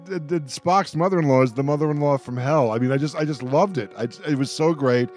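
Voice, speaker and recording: A man's voice: fairly high pitch, fairly quick, darkish tone, soft background music. A man hosting a radio roundtable in casual chat, talking emphatically and often repeating his phrases. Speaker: radio host moderating a roundtable.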